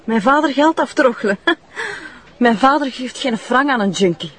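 A woman's voice: fairly high pitch, lively intonation, normal speed, close to an everyday voice. A woman playing a bitter, defiant young runaway addict in a TV drama, with a Flemish accent and a coarse, contemptuous way of talking. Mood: scornful, hard. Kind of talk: naturalistic TV drama acting.

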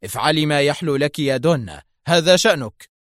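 A man's voice: mid-range voice, animated, moderate pace, no room sound, close to an everyday voice. Man playing a stern, resolute intelligence officer, dry sound. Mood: stern, disapproving. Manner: firm, strict, emphatic. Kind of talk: dramatic audiobook dialogue.

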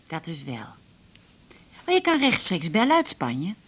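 An actress playing a wistful, plain-spoken woman confidante in a naturalistic Dutch radio drama, husky and questioning. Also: on the low side, wildly swooping pitch, a little slow, a bit bright, heavily acted. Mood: tentative, wistful.

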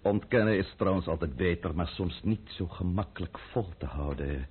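An actor playing a cunning, menacing count in a Dutch radio play, silky and mockingly polite. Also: male voice, sits low, normal speed, breathy edge. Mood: quietly threatening. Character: cunning, menacing aristocratic count, coolly sardonic schemer. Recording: thin, phone-like old radio play recording.

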